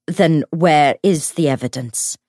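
A woman voicing a commanding, exasperated gentleman in an audiobook, projecting with dry authority. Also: sits low, takes it slowly, dry recording. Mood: angry.

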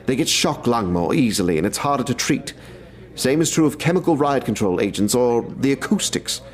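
An actor playing a brisk male military major who briefs in a businesslike way. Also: medium pitch, expressive, lightly acted. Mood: serious.

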